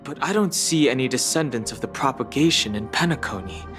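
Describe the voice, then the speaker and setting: A man voicing a calm, reserved, analytical young video game hero with a serious, level-headed delivery. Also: medium pitch, a little fast, natural voice. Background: game soundtrack music.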